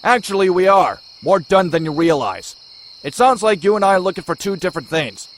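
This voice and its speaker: Guy doing a snarky hooded antihero, kinda high lively voice, exasperated, comic dub w a little hiss. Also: fairly quick, rough recording. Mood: cocky. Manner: sarcastic, exasperated banter.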